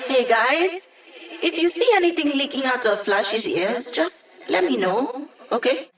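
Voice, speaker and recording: A woman's voice: high-pitched, animated, a little slow, fairly bright tone. An actress voicing a cocky, sardonic young female hacker who lives as a hologram in a video game, with slangy, teasing delivery. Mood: wry. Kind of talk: video game character voice lines.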